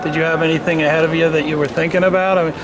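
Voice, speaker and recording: A man's voice: on the high side, flat delivery, racing. A man with an American accent interviewing an athlete casually, with chatty, informal, rambling questions. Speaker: sports interviewer. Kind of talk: casual post-match interview. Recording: noisy venue, clear background noise. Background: ambient venue noise.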